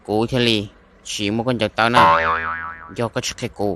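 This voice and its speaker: A man's voice: moderate pace, very noisy, natural voice. A man playing a young man in love in a cartoon romance, speaking in a conversational way. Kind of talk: amateur animated cartoon dialogue.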